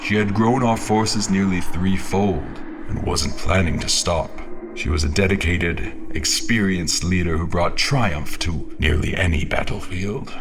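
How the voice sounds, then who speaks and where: Man acting a very deep, gravelly, haunted fantasy general. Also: background noise. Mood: reverent, mournful. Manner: solemn, brooding, theatrical, halting, drifting into whispers. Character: haunted, guilt-ridden male fantasy general turned dark champion. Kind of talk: dramatic scripted first-person fantasy narration. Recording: background music under the voice.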